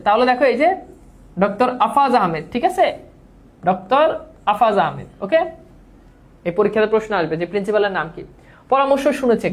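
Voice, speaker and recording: A male teacher with a Bangladeshi accent giving a conversational exam-prep lesson, explaining and rephrasing as he goes. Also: very high voice. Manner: explanatory, repeats and glosses phrases.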